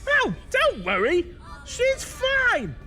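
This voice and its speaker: A chipper little crypt minion in a campy audio comedy. The voice is very high and piercingly bright, blithe and oblivious, with unhurried, bouncy delivery over faint music. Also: female voice, wildly swooping pitch, heavily acted. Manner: chirpy, blithe, unbothered. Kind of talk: campy comic audio drama.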